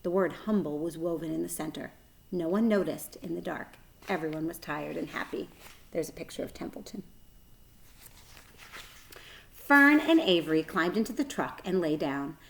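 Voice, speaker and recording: A woman's voice: on the low side, lively intonation, moderate pace, gravelly, pauses often, slight background noise, poor audio. A woman reading a children's story aloud as narrator, with expression.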